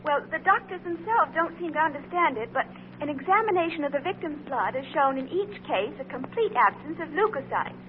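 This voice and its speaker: A woman's voice: high-pitched, animated, brisk, a little hiss or hum. A woman acting a sleuth's eager, inquisitive lady companion in an old-time radio drama, polite and questioning. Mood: earnest. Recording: thin, narrow-band old radio recording.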